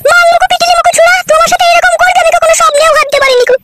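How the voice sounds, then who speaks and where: Squeaky chipmunk-fast angry cartoon wife, nagging nonstop. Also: female voice, fairly even intonation. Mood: scolding. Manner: shrill, nagging, cursing. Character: furious young wife scolding her husband. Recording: loud hissy noise behind.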